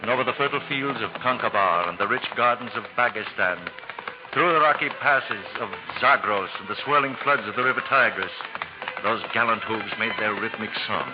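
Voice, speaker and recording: A man's voice: lowish voice, brisk. A man narrating a 1940s radio drama in a grand, poetic storytelling manner. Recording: dull vintage radio recording, loud music.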